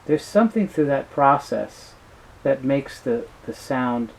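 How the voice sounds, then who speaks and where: A man being interviewed, talking casually and explaining things in a halting way with frequent restarts. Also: mid-range voice, lively intonation, gravelly, rough recording. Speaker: business founder being interviewed.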